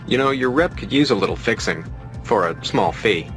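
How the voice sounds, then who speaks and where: A smooth-talking trade contact keeps up a friendly, salesman-like patter in a video game cutscene. His voice sits lowish, with faint music in the background. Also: lively intonation, moderate pace, a bit bright. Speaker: game character.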